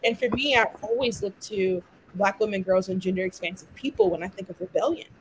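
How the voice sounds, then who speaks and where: A woman's voice: medium pitch, a little fast, slight background noise, low-quality recording. A young woman from London and Miami speaking passionately in a conversational discussion, peppering her talk with "like" and "right?". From born in London, raised in Miami. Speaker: guest, activist.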